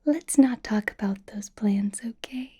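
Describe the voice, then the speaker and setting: A woman playing a doting, possessive and sweetly menacing female vampire boss in a whispered ASMR roleplay, with slow, breathy delivery. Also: mid-range voice. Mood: controlling, sweetly menacing. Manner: breathy, slow whispering with soft little laughs.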